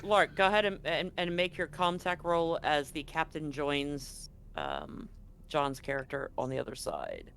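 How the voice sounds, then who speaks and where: A game master with a medium-pitched voice talks casually through a tabletop role-playing session. The delivery is informal and explanatory. Some background noise sits behind the voice. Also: lively intonation, a little slow, fairly bright tone. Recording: clearly noisy background.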